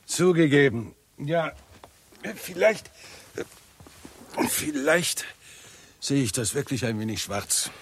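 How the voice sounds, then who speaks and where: An actor playing a blunt, skeptical scientist in a 1960s German radio drama, speaking with dry precision. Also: male voice, medium pitch, animated, slow pace, pauses often, a little hiss or hum. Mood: conceding, thoughtful. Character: blunt, skeptical British scientist, scornful of politicians.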